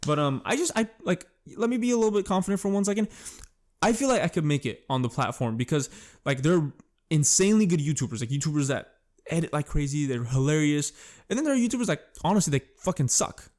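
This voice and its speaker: A man's voice: fairly high pitch, animated, quick pace, darkish tone. A young man hosting a solo podcast, rambling in a self-conscious, casual way and swearing often. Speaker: podcast host.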